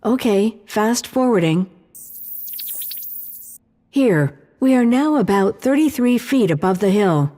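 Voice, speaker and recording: A mellow female artificial intelligence speaks inside a long, hall-like reverb. She is calm and precise, laying out analysis in measured, formal sentences, as in a sci-fi audio drama. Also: mid-range voice. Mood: calm, helpful.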